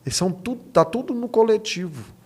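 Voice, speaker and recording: A man's voice: high voice, expressive, moderate pace, darkish tone, husky. A Brazilian man speaking as a pastor and podcast guest, earnest and emphatic, mixing conversational preaching with anecdotes and rhetorical questions. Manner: earnest, emphatic, punctuates points with rhetorical questions and tag checks.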